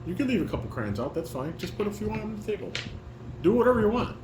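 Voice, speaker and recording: A man with a high, swooping voice directs a home table read, switching between instructions and read-aloud stage directions. The room echoes, with a light hum of fan and air conditioner. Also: big pitch swings, fast. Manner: instructing, repeats and corrects lines. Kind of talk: casual coaching mixed with reading stage directions aloud. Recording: roomy echo, fan and air conditioner noise.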